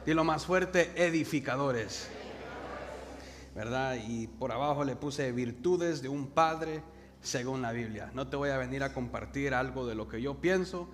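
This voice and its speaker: A young man from El Salvador with a Salvadoran Spanish accent, preaching a sermon and calling the congregation to repeat words after him. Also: mid-range voice. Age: young adult. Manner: calls the congregation to repeat words, slows for emphasis. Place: church hall. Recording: reverberant hall with faint noise.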